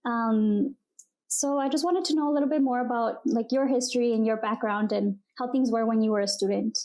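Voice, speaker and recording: A woman's voice: on the high side, fairly even intonation, brisk, darkish tone. A young woman, a student, politely and somewhat hesitantly asking interview questions over a video call. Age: young adult.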